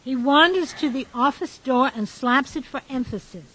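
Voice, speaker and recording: A woman's voice: high voice, moderate pace, bright, forceful tone, husky, heavily acted, low-quality recording. A woman reading scripted stage directions as the matter-of-fact narrator of a radio drama. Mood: descriptive.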